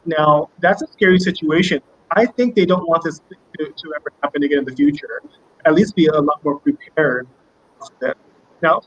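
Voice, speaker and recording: A man's voice: on the high side, a bit bright, slightly rough. A man answering interview questions as a company guest, speaking conversationally and emphatically, often repeating words. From United States. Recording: muffled online call with background noise.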